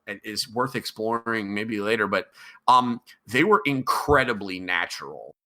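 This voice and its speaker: A man's voice: on the low side, measured pace, pushed and bright. A middle-aged man hosting a casual podcast chat, rambling with many ums and restarts. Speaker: podcast host.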